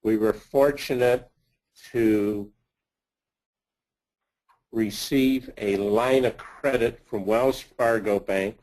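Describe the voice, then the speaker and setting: A man giving a slide presentation of financial results as a company executive, speaking haltingly in short phrases. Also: on the low side, darkish tone, low-quality recording.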